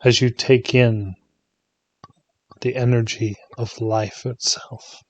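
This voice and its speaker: A man leading a guided meditation in a soothing, hushed manner, leaving long pauses between short phrases. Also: medium pitch, level tone, dry recording, lo-fi sound.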